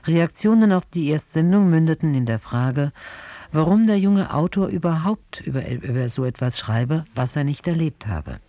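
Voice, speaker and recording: Announcer for radio play introduction: soft mellow tone, formal measured reading, very dry studio sound. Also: medium pitch, animated, no echo.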